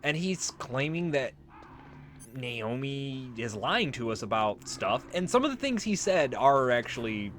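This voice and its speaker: Guy doing casual game commentary, musing as he goes, pretty animated, bit of noise behind. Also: medium pitch, unhurried. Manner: rambling, musing aloud. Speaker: let's play host.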